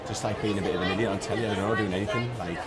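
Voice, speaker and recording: A man's voice: fairly low pitch, steady tone, racing. A young man, rambling with many fillers as a guest. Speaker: reality TV guest. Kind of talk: casual interview. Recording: loud crowd behind.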